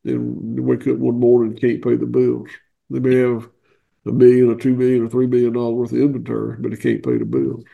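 Gravelly Southern man with a cold. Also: medium pitch, narrow, tinny audio. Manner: folksy, plainspoken, halting with fillers. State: head cold, stuffy nose. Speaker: guest farmer. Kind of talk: casual interview. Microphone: video call.

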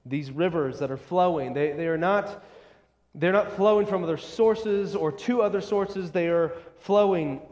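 Male preacher for expository sermon: high voice, deliberate and emphatic, recorded with long reverb. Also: a little mellow. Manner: emphatic, deliberate, repeating short phrases. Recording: long echoing hall reverb.

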